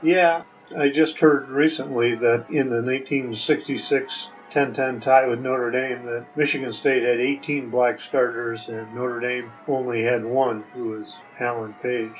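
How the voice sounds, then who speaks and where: An older man giving casual answers as a guest, speaking in a hesitant, measured way. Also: medium pitch, steady tone. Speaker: guest, the hosts' father. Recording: dull lo-fi sound, faint music.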